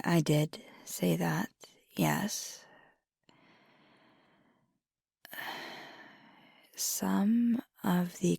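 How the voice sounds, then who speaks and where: A woman playing a young, earnest werewolf girlfriend in an intimate ASMR roleplay, soft-spoken and hesitant, with a husky, breathy voice. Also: lowish voice, no echo, clean studio sound, full character voice. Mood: guarded, resigned. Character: fiery young female werewolf girlfriend, earnest, ambitious, vulnerable.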